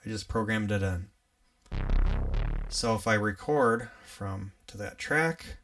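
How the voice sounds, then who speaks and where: A young man with an upstate New York accent, hosting a casual live tutorial on music gear, chatty and relaxed, laughing often as he works. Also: fairly low pitch, slow pace, far from the mic. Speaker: livestream host teaching music gear.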